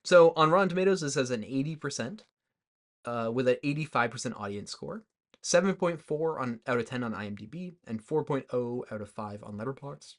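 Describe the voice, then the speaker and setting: A man's voice: mid-range voice, lively intonation, fairly quick. A man in his twenties co-hosting a movie podcast, talking informally and a little tentatively over drinks. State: drinking alcohol. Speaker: podcast co-host. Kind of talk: casual movie discussion.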